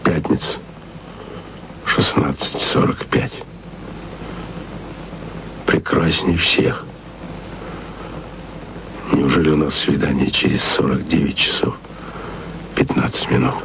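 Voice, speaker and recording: A very deep, gravelly voice murmurs to a faraway woman like a lovesick radio drama lead. The delivery is slow and intimate, often dropping to a whisper. Steady hiss sits under the whole recording. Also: exaggerated voice, low-quality recording. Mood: tender.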